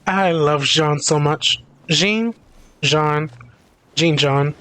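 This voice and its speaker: A man's voice: fairly high pitch, very slow, bright, forceful tone, low-quality recording. A man livestreaming a video game, chatting casually and commenting on it. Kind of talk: casual gaming stream chat. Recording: faint game music behind.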